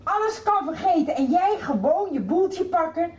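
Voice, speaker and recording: A woman's voice: extremely high pitch, animated, normal speed, darkish tone, echoey room, muffled recording. A woman playing an outraged, unyielding middle-aged mother in a radio soap, emphatic and insistent. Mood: indignant, accusing. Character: outraged, unyielding mother confronting her daughter's abuser.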